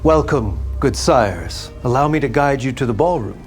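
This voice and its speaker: Nervous nobleman played by a man: medium-pitched, placating, flustered host in a scored audio drama. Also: lively intonation, moderate pace, soft background music, close to an everyday voice. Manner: nervous, obsequious. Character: flustered, debt-ridden baron father, anxiously placating guests. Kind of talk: audio drama character acting.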